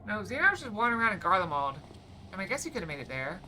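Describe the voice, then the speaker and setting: A woman's voice: mid-range voice, very animated, rapid-fire, pushed and bright, slight background noise, rough recording. A woman streaming a video game, chatting casually in a rambling, playful way. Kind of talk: casual live-stream chat over gameplay.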